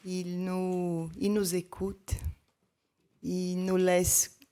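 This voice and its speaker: A woman's voice: fairly low pitch, level tone, very slow, mellow. A woman, an actress, answering at a press conference, hesitant and repeating words as she searches for them.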